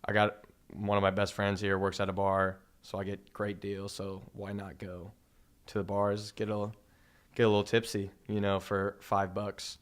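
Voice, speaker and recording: A man's voice: on the low side, monotone, normal speed. A twenty-three-year-old man chatting as a podcast guest in a small studio, easygoing and laid-back. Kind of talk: casual chat. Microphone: studio mic on boom arm.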